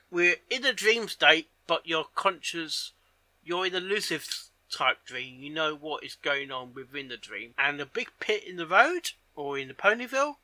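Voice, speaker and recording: A man giving casual reaction commentary on a story, halting and often repeating his phrases as he goes. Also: fairly high pitch, sharp tone, no echo. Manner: halting, repeats and rephrases himself. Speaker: reaction video host.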